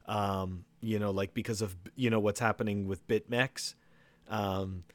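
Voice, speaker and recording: A man's voice: on the low side, fairly even intonation, unhurried, dry recording. A man hosting a casual podcast conversation, talking loosely with many "you know" and "like" fillers.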